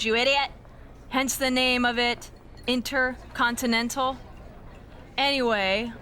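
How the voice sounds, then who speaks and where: A woman's voice: a little high, slight background noise. An actress playing a boastful, bratty woman wrestling champion in a video game cutscene, smug and mocking. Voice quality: bright, taunting.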